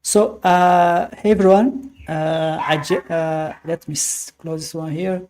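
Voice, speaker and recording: A man whose first language is Portuguese, hosting a podcast live in a casual, halting way with many fillers and restarts. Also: fairly high pitch, fairly even intonation, darkish tone. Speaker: podcast host. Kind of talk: casual live-stream talk. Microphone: computer microphone.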